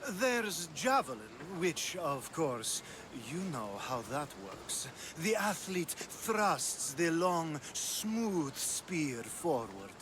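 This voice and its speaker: An actor playing a flamboyant, flirtatious Greek aristocrat in a video game, languid and suggestive in a theatrical way. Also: male voice, on the high side, very animated, drawn-out words, few pauses, noisy background, exaggerated voice. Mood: suggestive, relishing. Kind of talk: video game cutscene acting.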